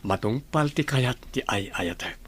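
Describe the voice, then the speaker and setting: A man's voice: mid-range voice, expressive, measured pace, fairly bright tone, slightly rough. A man with an Ilocano accent playing a plain-spoken village family man in a radio drama. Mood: devoted, concerned.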